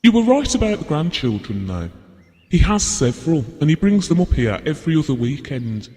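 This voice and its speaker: A man playing a gentle but frank, cynical stranger who watches people, in an audio drama. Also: a little high, sing-song, a little fast. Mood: matter-of-fact, cynical. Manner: apologetic yet blunt. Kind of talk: naturalistic audio drama acting. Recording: long reverb on the voice.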